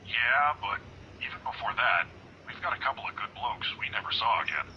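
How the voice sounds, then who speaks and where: A man's voice: medium pitch, sing-song, brisk, dull audio, slight background noise, close to an everyday voice. A man voicing a chatty, kindly, fumbling old security guard in visual novel game dialogue, with a hesitant, forgetful way of talking. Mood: gossipy. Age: older.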